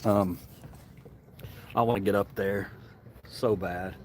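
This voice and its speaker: Rambling Southern man with a low voice. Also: steady tone, slow, a little hiss or hum. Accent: Mississippi Southern. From Mississippi. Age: Gen X, middle-aged. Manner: rambling, repeats words, chuckles often, swears casually. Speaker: livestream host. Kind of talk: casual livestream chat.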